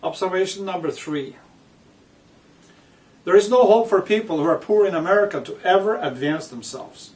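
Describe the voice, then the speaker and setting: Higher-voiced man reading aloud, distant mic. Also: moderate pace, pauses often, a little mellow. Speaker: author reading own book aloud with commentary. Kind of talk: reading aloud with spoken commentary. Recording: distant microphone.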